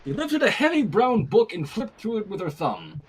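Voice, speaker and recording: Male fan fiction narrator, wry and very lively, slightly husky, breaks off to comment. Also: sits high, moderate pace. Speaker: story reader. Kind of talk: fan fiction reading with casual asides.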